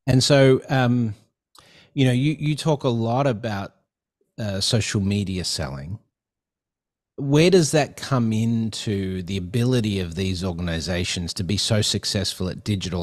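A middle-aged man hosts a podcast from a home studio, speaking over a headset mic on a video call. His voice sits fairly low, and he talks in a loose, rambling way full of fillers and restarts. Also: moderate pace. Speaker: podcast host. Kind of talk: casual interview chat. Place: home studio with neon sign. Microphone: headset and desk mic on video call.

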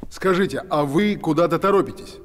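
Actor as pushy theatrical director guy running rehearsal, voice a bit high. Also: fairly quick, a little hiss or hum, close to an everyday voice. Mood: insistent. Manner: insistent, theatrical, instructive. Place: theatre hall, indoors.

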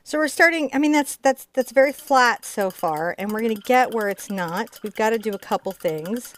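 A woman's voice: on the high side, animated, moderate pace. A middle-aged woman chatting informally and digressively as she teaches painting in an indoor studio. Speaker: host teaching a live painting class. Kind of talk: casual chat and painting demonstration.

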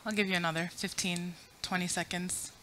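Bright-voiced woman joking through a talk. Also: lowish voice, flat delivery, brisk, slight background noise, rough recording. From Afghanistan. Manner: chatty, self-deprecating, joking asides. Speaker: conference speaker. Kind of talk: conference talk with audience polls. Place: conference room with audience. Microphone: podium mic.

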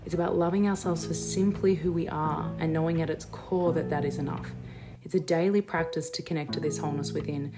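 A woman's voice: sits low, steady tone, fairly quick. A young woman reading an earnest, introspective voice-over for her vlog. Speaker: vlogger. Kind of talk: reflective scripted voice-over. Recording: voice-over with loud music.

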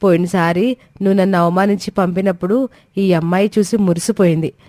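A woman's voice: lowish voice, fairly even intonation, fairly quick, warm tone, dry recording, clean studio sound, close to an everyday voice. A woman voicing a resentful visiting aunt in a dramatised moral story, complaining and insinuating. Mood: offended, insinuating.

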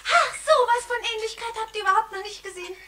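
A woman playing a lively, imaginative little girl in a 1960s German radio play, chattering playfully. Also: very high-pitched, fast talker. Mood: excited, gleeful. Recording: distant, noisy old vinyl record.

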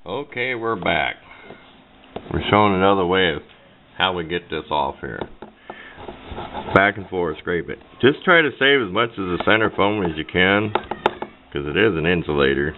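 A man's voice: sits low, lively intonation, normal speed, long pauses. A folksy, plain-spoken man giving a casual hands-on tutorial at a workbench, picked up by a camera microphone. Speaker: DIY radio hobbyist demonstrating. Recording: muffled phone-like audio, background noise.

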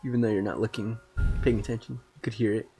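A man's voice: on the low side, fairly even intonation, quick pace, dark tone. Slangy man chatting over game music. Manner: informal, slangy, asks lots of questions. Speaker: co-commentator watching a video game. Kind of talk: casual chat commentary over game cutscenes. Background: video game music.

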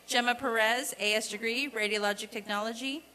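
A woman reading out a formal roll of graduates at a commencement, in a deliberate, repetitive announcing cadence. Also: a little high, steady tone, bright, forceful tone. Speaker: commencement name reader. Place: outdoor college commencement ceremony.

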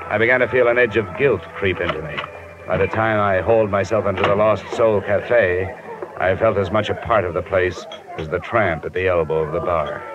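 Low-voiced hard-boiled radio detective played by an actor. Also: male voice, moderate pace, close to an everyday voice. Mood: grim narration. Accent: American. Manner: clipped, hard-boiled, deadpan. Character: tough, weary, wisecracking American private investigator narrating his case. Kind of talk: 1950s radio detective drama acting. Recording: muffled vintage radio recording, music behind.

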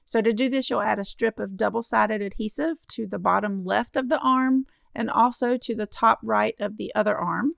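Crafty lady walking you thru folds, gravelly fry in her voice, friendly and encouraging, dry close sound. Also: mid-range voice, normal speed, hardly any pauses, fairly bright tone, no room sound. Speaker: card-making crafter. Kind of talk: step-by-step craft tutorial voice-over.

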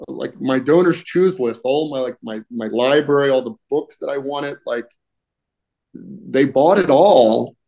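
A middle-aged man talking casually as a guest on a video-call interview, with many fillers and frequent throat-clearing. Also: mid-range voice, measured pace, dark tone. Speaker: union political director, guest. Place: home room, indoors.

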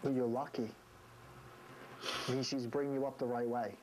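Stern american guy, school principal type, normal pitch, bit of noise behind him. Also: little pitch movement, normal speed. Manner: stern, authoritative. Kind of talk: reality show narration and firm talk with a student. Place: school offices and locker room. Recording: noisy reality TV location sound.

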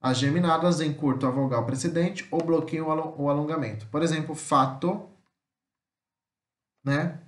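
Higher-voiced Brazilian man teaching, echoey room. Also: steady tone. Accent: Brazilian Portuguese. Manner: halting, repetitive, frequent tag questions to check understanding. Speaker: language teacher. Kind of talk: informal lesson, reading slides aloud and explaining. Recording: roomy, echoing voice-over.

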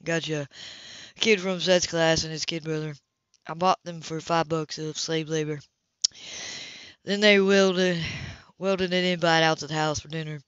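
Female reader as restless flirtatious man for a romance audiobook; clipped, teasing, lowered voice. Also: steady tone, a little slow, long pauses, fairly bright tone, clean tone, no room sound, highs cut off. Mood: teasing, casual. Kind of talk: audiobook character voice.

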